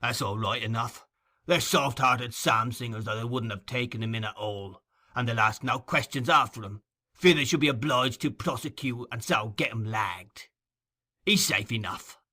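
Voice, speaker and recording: A man's voice: mid-range voice, moderate pace, sharp tone. A man voicing a brutal, surly London criminal in an audiobook, blunt, curt and threatening. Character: gruff, menacing London thug, brutal and surly.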